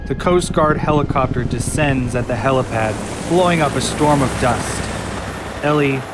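A man reading scripted narration for an audio drama in a measured, dramatic way. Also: medium pitch, loud music behind the voice.

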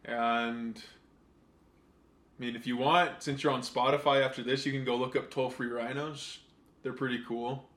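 A man in his twenties speaks casually at a middle pitch, tossing in teasing quips among friends. His voice carries a noticeable room echo. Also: little pitch movement, normal speed, fairly bright tone. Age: 20s. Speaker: podcast co-host. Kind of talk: casual podcast chat.

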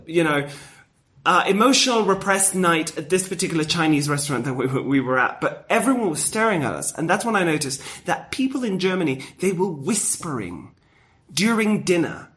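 A man's voice: a little high, normal speed. A man, co-hosting a casual chat show and telling stories with lots of acted-out voices. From Mexico. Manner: animated storyteller, acts out quoted voices. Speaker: podcast co-host.

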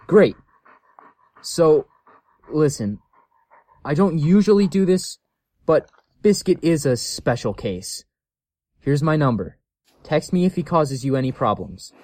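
A man's voice: on the high side, animated, slow pace, long pauses, a little mellow, no echo, studio quality. A man playing a caring, cautionary animal shelter worker in a scripted audio story, earnest and explanatory. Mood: cautioning, helpful.

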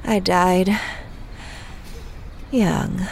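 Dying cosmetics queen: breathless whisper, very slow, campy audio drama, faint noise. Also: lowish voice, steady tone, creaky, a little mellow, exaggerated voice. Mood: dying, gasping. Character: dying cosmetics queen, gasping out her last words.

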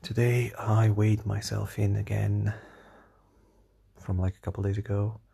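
Tired, hoarse young man rambling. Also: fairly low pitch, little pitch movement, a little slow, warm tone. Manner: rambling, hesitant, many fillers and restarts. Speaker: vlogger. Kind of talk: casual diary vlog.

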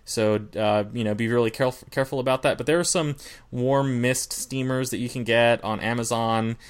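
Smooth-voiced man, relaxed podcast talk. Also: medium pitch, normal speed. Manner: relaxed, rambling, many fillers and restarts. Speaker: podcast co-host, singer. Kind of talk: casual podcast chat.

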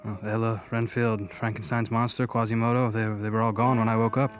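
A man playing a calm, analytical character with a measured, matter-of-fact delivery in a radio drama. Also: lowish voice, faint music behind, close to an everyday voice. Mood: grave.

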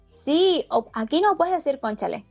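A woman's voice: high-pitched, lively intonation, normal speed, gravelly, soft background music. Chatty Venezuelan woman, muffled call audio. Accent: Venezuelan Spanish with a slight Argentine lilt. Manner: chatty, rambling, many restarts and fillers. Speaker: interviewee. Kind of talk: casual chat.

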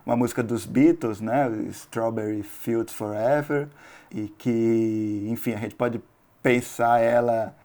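A Brazilian man discussing a film analytically and off the cuff, in a halting, tentative way with restarted phrases. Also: medium pitch, fairly even intonation, dark tone. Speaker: film commentator.

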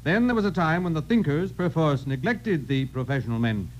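An actor playing a brusque, self-important head of a scientific foundation in a 1950s radio drama, clipped and commanding. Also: male voice, fairly high pitch, lively intonation, fairly quick, slight background noise, natural voice. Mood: brisk, commanding. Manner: clipped, commanding, formal dictation. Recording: dull, humming vintage radio broadcast recording.

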